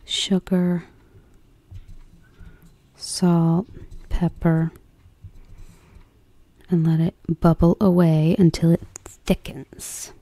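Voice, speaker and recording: A soft-spoken woman hosting an ASMR cooking role play, chatting soothingly as if to a guest. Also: lowish voice, flat delivery, extremely slow, pauses often, no room sound. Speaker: ASMR host.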